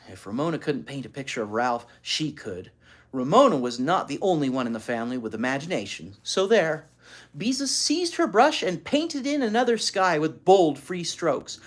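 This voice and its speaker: An expressive storyteller reading a children's book aloud, pausing to explain things to young listeners. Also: mid-range voice. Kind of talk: animated read-aloud with asides to child listeners.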